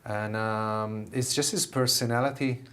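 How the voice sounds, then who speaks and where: A man's voice: fairly low pitch, fairly even intonation, measured pace, fairly bright tone, clean tone. A middle-aged man with an Israeli accent, a musician answering TV interview questions eagerly, with many restarts. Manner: enthusiastic, many fillers and restarts. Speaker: musician guest.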